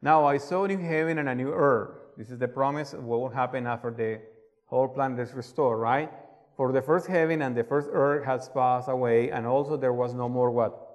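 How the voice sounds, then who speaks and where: A man's voice: mid-range voice, fairly quick. A man from Colombia with a Colombian Spanish accent, preaching a sermon and reading scripture, earnestly asking his listeners questions. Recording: long hall reverb.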